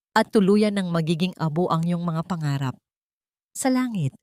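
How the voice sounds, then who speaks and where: A woman's voice: fairly low pitch, a little mellow, dry recording, studio quality. A woman narrating a dramatic audiobook story in a solemn, suspenseful manner.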